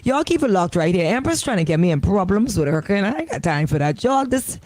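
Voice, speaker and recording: A woman with a Bahamian accent hosting casual radio chat, animated, emphatic and colloquial, close on a studio microphone. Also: mid-range voice, fairly quick, dry recording. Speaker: radio host.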